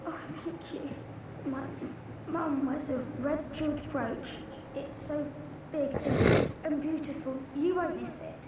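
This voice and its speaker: A woman voicing a small, lonely, frightened girl with a soft, childlike voice in video game dialogue. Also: high-pitched, fairly even intonation, unhurried. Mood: scared pleading. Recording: distant echoey game audio with noise.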